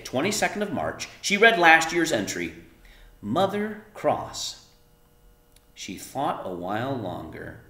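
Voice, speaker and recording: A man reads a children's book aloud with a very lively, swooping delivery. His voice is slightly low and he explains things emphatically and casually. The room around him echoes. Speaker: read-aloud narrator explaining words to children. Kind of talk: expressive storybook read-aloud with casual asides. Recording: roomy, echoing room sound.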